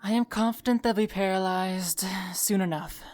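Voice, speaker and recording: A woman's voice: mid-range voice, normal speed, darkish tone, lightly acted. A woman playing a cold, clinical bandit poisoner in an audio drama, detached and confident in manner. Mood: cold, assured.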